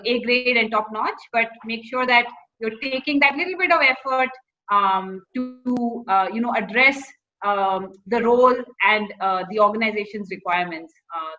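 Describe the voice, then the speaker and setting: A woman's voice: on the high side, sharp tone, lo-fi sound. A woman with an Indian accent giving informal career advice to students, slipping between English and Hindi phrases.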